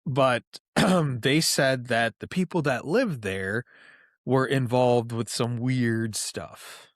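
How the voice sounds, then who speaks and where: A man's voice: medium pitch, slow, no echo, clean studio sound. A man guesting on a podcast, telling stories in a loose, chatty way with lots of "like" and casual swearing. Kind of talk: casual storytelling chat.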